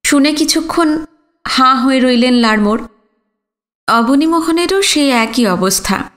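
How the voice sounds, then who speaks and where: A woman's voice: high-pitched, animated, unhurried, fairly bright tone. A woman reading literary fiction aloud as a scripted audio story narration.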